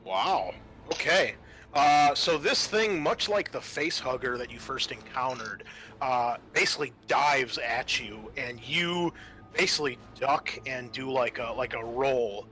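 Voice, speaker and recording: A man acting as game master, narrating a tabletop roleplaying session in a halting, improvised way with many fillers. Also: mid-range voice, animated, sharp tone, slight background noise.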